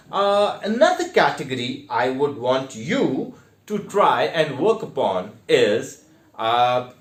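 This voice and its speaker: A man with an Indian accent giving a theatre lesson in a deliberate, step-by-step way with emphatic stressed words. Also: high-pitched. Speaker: theatre teacher. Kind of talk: instructional online lesson with demonstrations. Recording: echoey, reverberant room.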